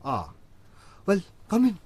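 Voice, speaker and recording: An actor playing a polite, evasive household secretary in a radio drama, with a husky voice. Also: male voice, sits high, huge pitch swings, slow, noisy background, full character voice.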